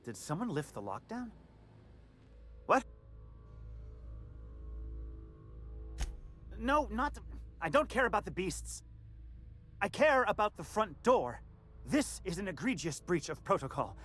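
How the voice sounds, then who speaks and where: An actor playing a put-upon, peevish junior lab scientist in a video game, fussy and exasperated. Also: male voice, high-pitched, big pitch swings, normal speed, pauses often, fairly bright tone, soft background music. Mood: indignant. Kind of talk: video game character acting.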